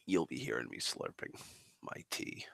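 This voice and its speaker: A man rambling slowly on a stream, swearing often and sighing, a little congested as he gets over the flu. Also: rumbling low, big pitch swings, fairly bright tone, no room sound, in a whisper. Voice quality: gravelly. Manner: swears a lot, drawling, slow and sighing. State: recovering from flu, slightly congested. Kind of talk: rambling casual monologue. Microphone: desk microphone.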